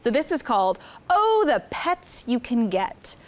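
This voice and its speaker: Lively high-voiced woman reading to children. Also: measured pace. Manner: expressive, encouraging, talking to young children. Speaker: children's story time host. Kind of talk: reading a picture book aloud to children. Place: studio set with seated children.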